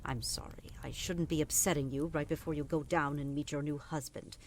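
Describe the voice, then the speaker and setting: A woman's voice: low-pitched, steady tone, a little fast, slight background noise. A woman playing a haughty middle-aged queen and guilt-tripping mother in an audio drama, dismissive and cajoling by turns. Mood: coaxing, wistful. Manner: dismissive, cajoling, imperious, with exasperated sighs. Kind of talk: audio drama podcast acting.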